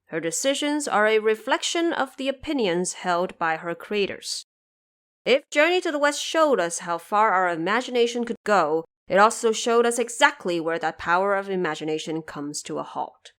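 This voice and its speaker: A woman's voice: mid-range voice, animated, moderate pace, fairly bright tone. A woman hosting a podcast in scripted explanatory narration, articulate and pointedly emphatic. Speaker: podcast host.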